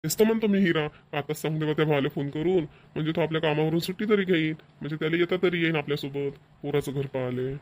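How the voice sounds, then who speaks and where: A man's voice: fairly high pitch, fast, few pauses, lightly acted. A man voicing a middle-aged village father in a cartoon, grumbling and repeating himself in rural dialect. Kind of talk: cartoon voice acting.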